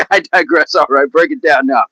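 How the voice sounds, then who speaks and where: A man's voice: on the high side, moderate pace, pushed and bright, a little hiss or hum. A man hosting a fitness livestream, chatting casually and answering viewer comments in an upbeat, laughing, repetitive way. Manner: chatty, upbeat, laughs often, repeats phrases.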